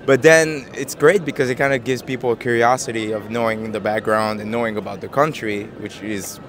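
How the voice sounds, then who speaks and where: A man in his twenties from Japan, answering street interview questions in a soft, hesitant way with many fillers and easy laughs, into a handheld mic outdoors. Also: mid-range voice, fairly even intonation, a little fast. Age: 20s. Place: busy city square outdoors. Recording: busy street crowd behind.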